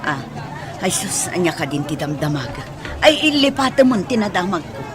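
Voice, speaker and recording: An inquisitive village mother, played by a woman in radio-drama style, a little awkward in manner. Her voice is on the low side, with a clearly busy outdoor crowd behind her. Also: big pitch swings, normal speed, background noise. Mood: curious, awkward.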